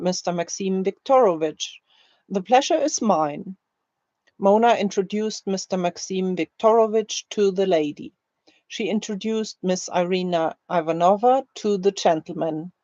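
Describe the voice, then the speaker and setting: A woman language teacher reads short drill phrases slowly and deliberately, leaving careful space between them. Her voice sits at an average pitch. The recording is very dry and a little dull. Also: mellow, no room sound. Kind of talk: slow, scripted language-learning drill, read aloud.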